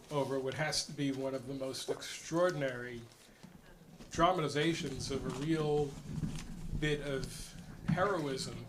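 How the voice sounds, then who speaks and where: A man presiding over a community gathering, moderating off the cuff in a halting, courteous manner. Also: mid-range voice, noisy background. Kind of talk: unscripted moderating.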